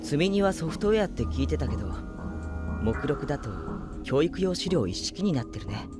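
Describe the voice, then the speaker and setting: An actor playing a calm, perceptive young spaceship crewman with gentle, composed speech in a Japanese audio drama. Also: a little high, brisk, loud music behind the voice. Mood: musing.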